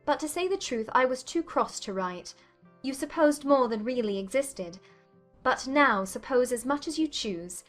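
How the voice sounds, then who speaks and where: A woman playing a witty, spirited young gentlewoman in a dramatized period romance audiobook, with a playful, articulate manner. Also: sits high, animated, normal speed, soft background music. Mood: joyful, affectionate.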